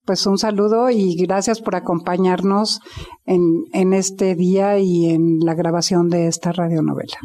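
A woman's voice: fairly low pitch, fairly even intonation, a little slow, warm tone. A middle-aged woman with a Mexican accent, explaining conversationally in an interview on a handheld microphone. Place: indoor office lounge at a radio station. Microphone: handheld reporter microphone.

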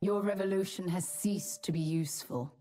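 A woman plays a cold female enforcer for a game. Her voice is mellow and measured, sardonic and quietly menacing, with music behind. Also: fairly low pitch, close to an everyday voice. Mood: cold menace. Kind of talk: game character voice lines.

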